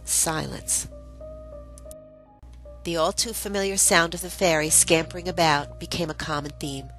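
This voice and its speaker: Low-voiced woman storyteller over music. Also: fairly quick, a bit bright. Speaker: storyteller narrating own memoir. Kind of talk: scripted first-person storytelling narration.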